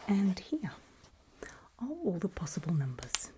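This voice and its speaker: A woman doing a whispered ASMR doctor roleplay, speaking breathily in gentle, reassuring, halting short phrases close to the microphone. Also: lowish voice, sing-song, noisy background. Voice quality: breathy, mostly unvoiced whisper. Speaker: ASMR performer role-playing a doctor giving a memory test.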